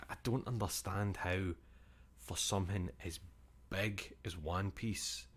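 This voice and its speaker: A young Scottish man, a streamer, chatting casually and rambling, swearing now and then and reading chat messages aloud. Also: sits low.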